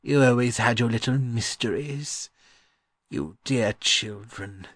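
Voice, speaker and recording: A man voicing a gentle, wistful old scholar in an audiobook, musing fondly and haltingly. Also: mid-range voice, no room sound, studio quality, voice pushed up. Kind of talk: audiobook character voice.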